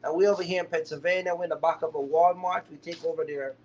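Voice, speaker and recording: A man's voice: fairly high pitch, steady tone, quick pace, darkish tone, a little hiss or hum. A man hosting a casual livestream, teaching viewers in an emphatic way and often checking that they follow. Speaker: livestream host, trucking business mentor. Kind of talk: casual live chat to viewers. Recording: dull, lo-fi live stream audio.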